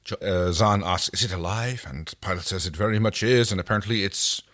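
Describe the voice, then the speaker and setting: A man's voice: sits low, a little fast, a bit bright, no room sound, clean studio sound. A man co-hosting a podcast in casual recap chat, often searching for his words as he goes. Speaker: podcast co-host.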